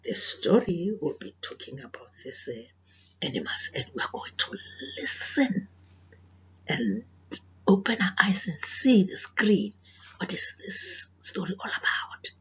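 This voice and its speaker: South African female teacher for children's read-aloud, husky and deliberate, muffled lo-fi sound. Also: fairly low pitch, very animated, a bit bright, whispered. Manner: deliberate, questioning, addressing young children.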